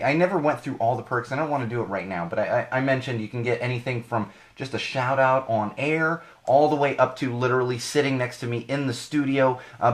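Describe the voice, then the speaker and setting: A man hosting a podcast live, slightly hoarse from losing his voice, talking in a chatty, rambling way and correcting himself as he goes. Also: mid-range voice. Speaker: podcast host. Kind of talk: live podcast monologue with plugs.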